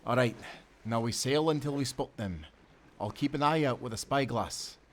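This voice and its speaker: An actor playing a loyal, good-natured sailor in a nautical audio adventure, speaking earnestly with friendly seafaring phrasing. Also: male voice, medium pitch, normal speed, slight background noise, natural voice. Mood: rousing. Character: young-hearted, loyal, good-natured sailor and former timberman. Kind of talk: cinematic nautical audio roleplay acting.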